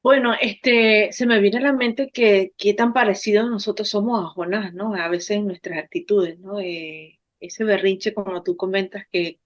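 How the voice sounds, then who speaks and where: A woman's voice: medium pitch, fairly even intonation, measured pace, hardly any pauses, muffled recording. A woman from Venezuela co-hosting a podcast, speaking casually with frequent filler words and hesitations. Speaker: podcast co-host. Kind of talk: casual conversational talk. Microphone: remote call.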